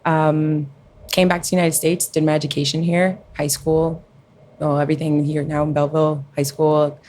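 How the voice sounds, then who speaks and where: Low-voiced young woman chatting as a guest. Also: level tone, normal speed, a little mellow, slight background noise. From raised in Colombia and New Jersey. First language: Spanish. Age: 20s. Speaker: podcast guest. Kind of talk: casual chat, personal storytelling.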